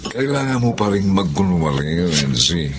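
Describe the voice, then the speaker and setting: Low-voiced bullying Filipino radio-drama husband. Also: male voice, big pitch swings, moderate pace, very noisy. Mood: brazen, playful. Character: cheating, bullying husband, menacing and brazen.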